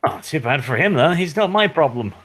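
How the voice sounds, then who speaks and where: A man's voice: on the high side, big pitch swings, a little fast, fairly bright tone, breathy edge. A man playing a tabletop game, blunt and swearing freely as he talks. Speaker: player. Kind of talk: casual game chat.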